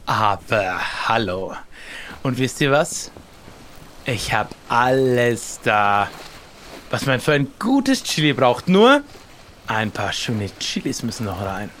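A man's voice: mid-range voice, sing-song, takes it slowly, a bit bright, slight background noise. A man playing along in an improvised adventure audio play, acting and thinking aloud in the first person. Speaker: player in an improvised pirate adventure.

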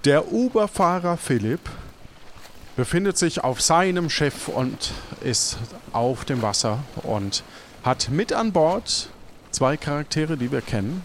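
A man's voice: medium pitch, slight background noise. A man narrating an improvised adventure audio play as a storyteller.

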